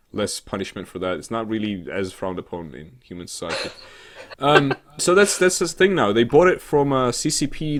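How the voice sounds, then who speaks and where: Low-voiced man, rambling and irreverent. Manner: rambling, hesitant, halting; irreverent, sarcastic, sweary. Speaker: co-host of a gaming news show. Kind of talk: casual chat about games news.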